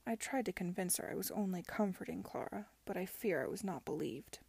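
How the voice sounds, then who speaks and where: Woman doing a rakish young gent from an audiobook, husky n mellow, grudging and defensive, kinda halting. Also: on the low side, animated, dry recording, heavily acted. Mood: bitter, hurried. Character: bitter, evasive young gentleman, rakish and defensive. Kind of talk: audiobook character dialogue.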